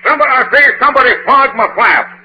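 An actor playing a blustering, pompous senator who keeps saying "I say" and "son", in 1940s radio comedy style. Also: male voice, very fast. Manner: booming, repeats "I say" and "son", punchline delivery. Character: blustering pompous male senator, middle-aged windbag. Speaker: comic character on a radio show. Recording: dull old radio recording with studio audience.

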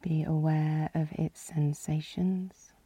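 Very soft, mellow, slow-speaking woman guiding meditation. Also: low-pitched, no echo. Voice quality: soft, breathy, husky. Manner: calm, soothing, gently instructing. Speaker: yoga nidra meditation guide. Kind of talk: guided relaxation meditation.

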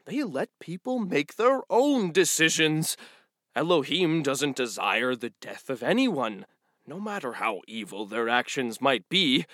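Chatty donkey storyteller, kids' audio drama; bright, lively pitch swings, folksy and earnest. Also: male voice, a little slow, no room sound, studio quality, full character voice. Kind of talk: children's audio drama acting.

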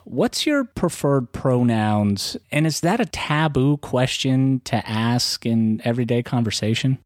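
A man's voice: medium pitch, expressive, unhurried, no echo, clean studio sound. A man hosting an interview podcast, introducing his guest and putting questions to them. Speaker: podcast host.

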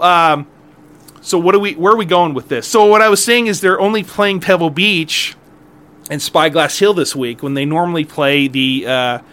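Man, middle-aged, somewhat high voice, casual solo podcast chat, rambling, self-deprecating. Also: a bit bright, smooth. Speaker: golf podcast host.

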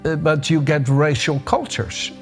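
A man's voice: a little high, steady tone, a little slow, faint music behind. Dutch-accented older man preaching. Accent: Dutch with a bit of American. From Netherlands. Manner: conversational, searching for words, frequent restarts. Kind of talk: devotional sermon.

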